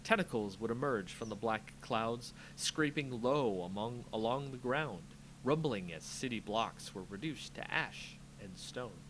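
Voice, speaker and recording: Animated man narrating a dramatic fantasy story. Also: medium pitch, measured pace, few pauses, slight background noise, low-quality recording. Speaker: host reading a story aloud.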